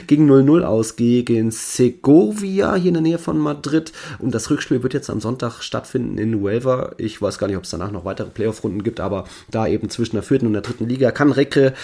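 Guy chatting on a football podcast, soft dark-ish mellow voice, midrange pitch. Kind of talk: casual football podcast talk.

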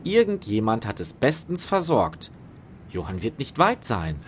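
An actor playing a peaceable but resolute young prince in a fairy tale audio drama. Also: male voice, medium pitch, wildly swooping pitch, measured pace, slight background noise. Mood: resolute, brisk.

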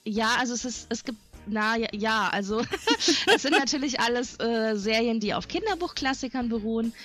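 A woman's voice: fairly high pitch, normal speed, fairly bright tone, soft background music. A middle-aged woman, chatting casually and rambling as she reminisces on a podcast panel.

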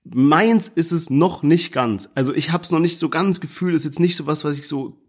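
A man's voice: fairly high pitch, moderate pace, slightly rough. Casual young man hedging his opinions. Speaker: podcast co-host. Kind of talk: casual chat about rap releases.

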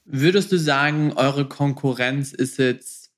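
A man's voice: mid-range voice, slow. A man speaking as a podcast host, putting questions to a guest in a conversational way.

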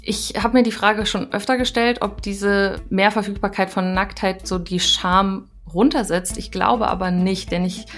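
A woman's voice: mid-range voice, steady tone, moderate pace, hardly any pauses, faint music behind. A woman giving her opinion as a commentator, in a reflective way. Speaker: opinion blogger, guest commentator.

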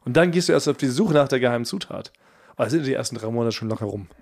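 A man's voice: mid-range voice, animated, rapid-fire, gravelly, a little mellow. A man co-hosting a podcast in casual comedic banter, with playfully wordy, mock-formal phrasing. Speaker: podcast co-host.

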